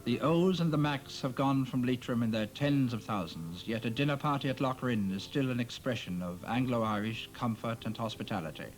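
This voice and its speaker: A man's voice: medium pitch, normal speed, hardly any pauses, poor audio. A man narrating a documentary in a dry, wry, polished scripted delivery. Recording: narration over music.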